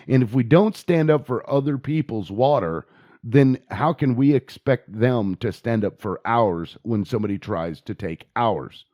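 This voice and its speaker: An American man talking informally and giving his opinions in a halting way, repeating words as he goes. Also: mid-range voice, a little mellow, no room sound, studio quality. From United States. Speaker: video blogger and activist. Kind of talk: informal opinion monologue and phone inquiry.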